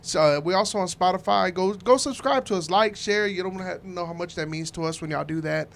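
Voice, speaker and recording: A man's voice: high-pitched, a little fast, creaky. Laughing, teasing podcast co-host, a man. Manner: laughs often, teasing and crude. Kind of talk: casual podcast banter. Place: home recording studio.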